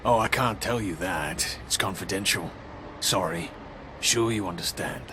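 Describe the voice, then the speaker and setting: An actor playing a cagey, wisecracking hired investigator in a noir video game, with a slangy, streetwise manner. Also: male voice, deep voice, a little slow, noisy background. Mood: smug, evasive.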